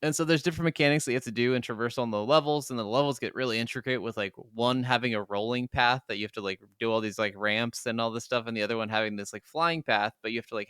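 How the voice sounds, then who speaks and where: A man's voice: mid-range voice, fairly bright tone, smooth, dry recording, studio quality. A man co-hosting a podcast, chatting casually about video games in a rambling, enthusiastic way. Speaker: podcast co-host.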